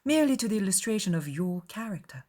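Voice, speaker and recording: A woman's voice: mid-range voice, very animated, fast, warm tone. A witty young lady of a period novel, as a woman narrator acts her. She is outspoken and arch, placing emphasis with care in an articulate audiobook delivery. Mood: probing, arch. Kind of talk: dramatized audiobook acting.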